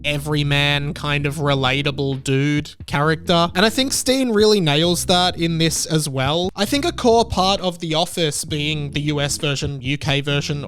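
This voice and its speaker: Man, fairly high voice; earnest, opinionated reviewer talking conversationally to viewers. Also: fairly bright tone. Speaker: video reviewer. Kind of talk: conversational video commentary.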